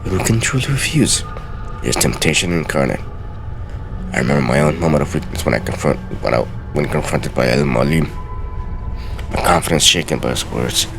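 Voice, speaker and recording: A man with an extremely low, creaky voice hosts a video game playthrough. He swings expressively through his commentary and reads lore aloud with theatrical deliberation, over clearly audible game music. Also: lively intonation, a little fast. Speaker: let's play host. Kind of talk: game commentary with dramatic reading of in-game texts.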